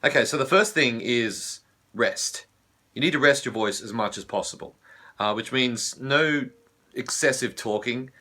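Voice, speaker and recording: A man with an Australian accent, a vocal coach whose voice is husky from laryngitis, explaining vocal care in a relaxed way with many fillers. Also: mid-range voice, measured pace. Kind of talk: teaching video.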